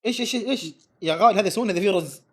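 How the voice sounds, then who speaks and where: A Saudi man bantering casually with friends, excitable and repeating his phrases insistently. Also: sits high, racing, husky. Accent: Saudi Arabian. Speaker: challenge video participant.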